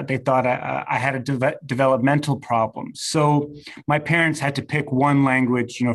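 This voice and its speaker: A man whose first language is Serbo-Croatian, interviewing a guest in a chatty way with lots of fillers. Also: mid-range voice. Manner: friendly, chatty, many fillers. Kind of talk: casual interview.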